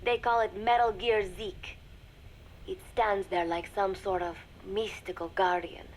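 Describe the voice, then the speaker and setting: Woman acting a young lady spy dictating a secret game audio log, formal n measured, careful diction, noisy cassette sound. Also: mid-range voice, steady tone, lightly acted. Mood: cool, calculating. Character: composed young female spy secretly reporting to a shadowy master. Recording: noisy cassette tape recording with ambience.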